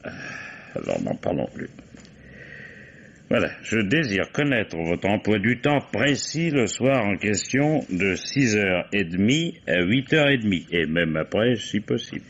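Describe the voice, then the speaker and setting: Deep-voiced police commissioner: courteous, hesitant, insinuating, old French radio-mystery style. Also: male voice, very animated, unhurried, breathy edge, dull audio, full character voice. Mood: politely probing. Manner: polite, hesitant, insinuating questioning. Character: male police commissioner, politely probing, wily and dogged.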